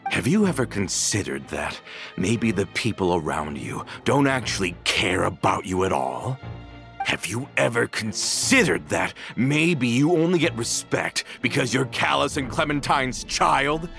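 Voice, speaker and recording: Bitter vengeful game traitor, gravelly creak, dramatic swoops in pitch, accusatory. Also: male voice, animated, unhurried, a bit bright, soft background music. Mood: accusing. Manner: theatrical, accusing delivery. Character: bitter, vengeful man, nostalgic and hateful traitor. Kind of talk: dramatic video game voice acting.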